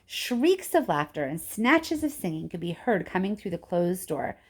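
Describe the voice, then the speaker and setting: A woman's voice: on the low side, very animated, moderate pace, slightly rough. A woman narrating a children's book expressively, like a teacher reading aloud. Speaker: story reader, teacher.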